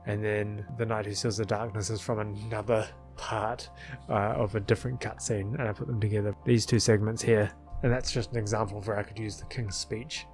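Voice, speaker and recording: A man's voice: on the low side, flat delivery, fairly quick, darkish tone. A man giving rambling, casual commentary as a video creator, recorded as a close voice-over with music behind. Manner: rambling, chuckling asides. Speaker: video creator, film editor.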